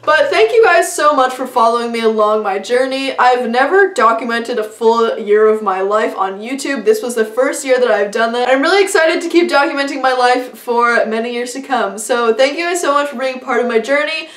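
A woman's voice: on the high side, moderate pace, few pauses, a bit bright, roomy sound, far from the mic. A young woman talking to camera in a casual, upbeat vlog, chatty and full of fillers. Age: young adult. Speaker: vlogger. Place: small indoor room before a plain wall.

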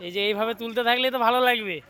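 Fast, bright, excited vlogger man. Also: extremely high pitch, clean tone. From West Bengal, India. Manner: excited, addresses viewers directly. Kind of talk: casual running vlog commentary to viewers. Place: outdoors beside a pond.

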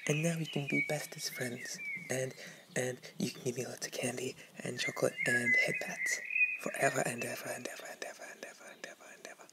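A woman voicing a naive, excitable, greedy young bunny boy in an ASMR roleplay, with a dim-witted, childlike manner. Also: extremely low pitch, quick pace. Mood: blissful, excited. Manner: dim-witted, childlike, breathy asides with giggles and gasps. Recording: clearly noisy background with birdsong.

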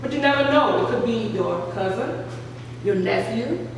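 A woman's voice: fairly high pitch, expressive, normal speed, gravelly. Emphatic woman author in an echoey cafe. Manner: emphatic, repeats words for stress. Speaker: author at a book signing. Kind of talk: speaking to a small audience and reading aloud. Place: small art cafe, indoors. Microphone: far camera, no mic. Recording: echoey room from far off with noise.

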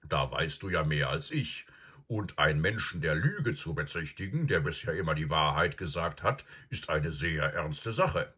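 A man voicing a serious, calm old professor in a storybook, speaking deliberately with pondering hums and murmurs. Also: rumbling low, husky, exaggerated voice. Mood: grave, measured. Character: serious old male professor, calm, probing, absent-minded. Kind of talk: audiobook character acting.

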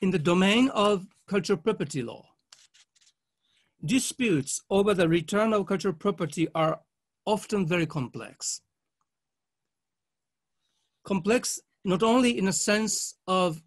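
Guy w high voice, doing a formal conference lecture, careful and halting, short phrases. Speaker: conference speaker, legal academic. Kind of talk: formal academic conference presentation read with slides.

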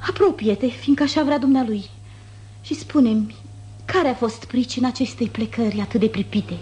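A woman's voice: a little high, mellow, lightly acted. An actress playing a proud, wounded noblewoman, formal, declamatory and reproachful, in 1950s radio theatre. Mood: insistent.

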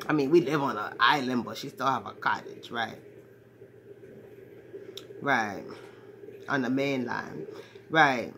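A woman's voice: sits low, noisy background. Woman playing a brash crude fortyish man. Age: 40s. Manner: crude, rambling, swaggering street talk. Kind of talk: comedic character monologue to camera. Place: small cluttered bedroom indoors. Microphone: phone at arm's length.

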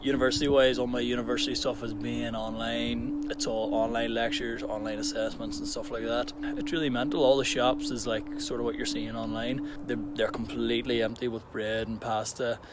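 A man's voice: mid-range voice, little pitch movement, a little fast, hardly any pauses. A man in his twenties with an Irish accent, talking casually to a phone camera outdoors. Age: 20s. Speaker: student and bar worker. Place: outdoors by open fields. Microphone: phone at arm's length. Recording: noisy outdoor recording. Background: loud outdoor ambience.

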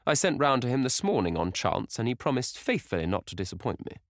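A man voicing an excitable elderly society hostess who gushes and scolds in high exclamations. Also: fast talker, no echo, clean studio sound, lightly acted. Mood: scolding. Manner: gushing, scolding, shrill exclamations.

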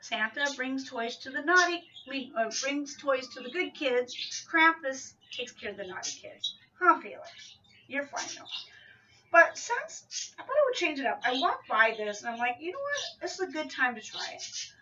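A woman's voice: high voice, big pitch swings, moderate pace, sharp tone. A middle-aged woman vlogging casually in her home kitchen, talking in a rambling, chatty way and often correcting herself mid-sentence. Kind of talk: casual grocery haul vlog. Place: small home kitchen, indoors. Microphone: camera mic from a few feet away. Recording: distant, dull lo-fi camera audio.

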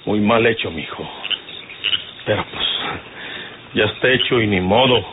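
An actor playing a domineering older outlaw boss in an old radio drama, with a rural northern Mexican accent and a folksy, commanding manner. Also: male voice, fairly low pitch, unhurried, natural voice. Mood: stern, fatherly. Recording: noisy, tinny vintage radio recording.

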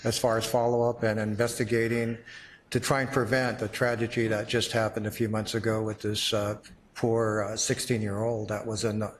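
Middle-aged guy at a board meeting mic, flat voice, lots of uhs, faint hum behind. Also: lowish voice. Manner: halting, many uh fillers. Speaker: public commenter. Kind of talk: public comment at a county board meeting.

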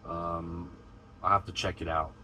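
A low-pitched American man talks in a loose, rambling way while cooking inside his truck cab. His voice has a bright, forceful edge, and faint ambient noise hums underneath. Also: little pitch movement, a little slow. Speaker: truck driver vlogger. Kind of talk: casual vlog chat while cooking.

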